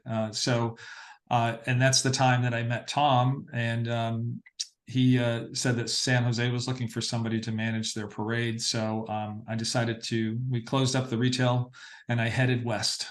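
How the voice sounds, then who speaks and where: A middle-aged American man from Massachusetts telling his story as an interview guest, pausing often as he finds his phrasing. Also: medium pitch, little pitch movement. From Massachusetts, northeastern United States. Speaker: interview guest, parade producer.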